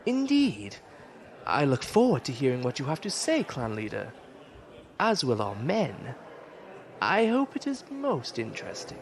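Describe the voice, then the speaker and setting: A man's voice: mid-range voice, wildly swooping pitch, a little slow, creaky, heavily acted. A man playing a scheming admiral in a science fiction audio drama, with courtly, insinuating speech.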